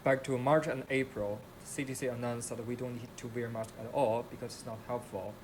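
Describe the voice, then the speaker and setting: A man's voice: medium pitch, steady tone, brisk, noisy background. A man hosting a video, introducing it and asking passers-by friendly, direct questions. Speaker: host and street interviewer.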